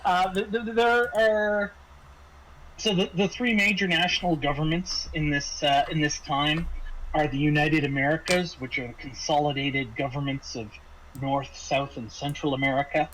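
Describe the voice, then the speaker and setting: A man running a tabletop roleplaying game, casually briefing his players in a halting, explanatory way with frequent hesitations and restarts. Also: a little high, steady tone, fairly bright tone, a little hiss or hum, poor audio. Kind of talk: semi-improvised briefing to players, narrating a scenario.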